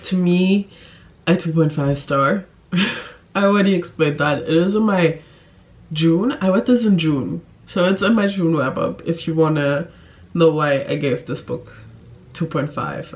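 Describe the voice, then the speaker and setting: A woman's voice: fairly low pitch, darkish tone, slight background noise. Woman, book vlogger, casual chat to camera, effusive, giggly, informal delivery.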